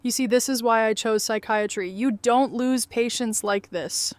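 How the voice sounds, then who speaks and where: A woman acting a high, clear, bitter psychiatrist. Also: a little slow, no echo, lightly acted. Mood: bitter, upset. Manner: bitter, exasperated. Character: Denise, upset, sardonic woman psychiatrist in an apocalypse. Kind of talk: audio drama acting.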